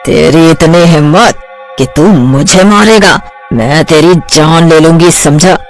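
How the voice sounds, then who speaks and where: A woman voicing a vengeful headless female witch in a cartoon, threatening and gloating in a lowered voice. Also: slow, faint music behind.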